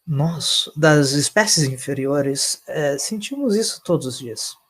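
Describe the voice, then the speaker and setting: Guy doing a stuffy gentleman doctor, dry, courteous but grave, audio drama. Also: a little high, animated, takes it slowly, slightly rough, full character voice. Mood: polite, sombre. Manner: dry, pompous, politely sombre. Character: pompous, dry British gentleman doctor, sympathetic yet sober.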